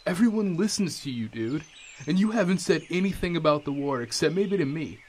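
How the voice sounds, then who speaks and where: An actor playing a laid-back, simple-hearted superhero dude with a casual slacker manner in an audio drama. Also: male voice, a little high, lively intonation, a little fast, darkish tone, a little hiss or hum, natural voice. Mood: casual, puzzled.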